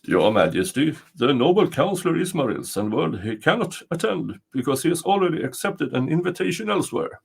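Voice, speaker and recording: A man's voice: fairly high pitch, sing-song, fairly quick, vocal fry, few pauses, slightly rough, pitched above the performer's own voice, exaggerated voice. Guy reading as a castle steward in a fairytale, courtly, formal, very deferential.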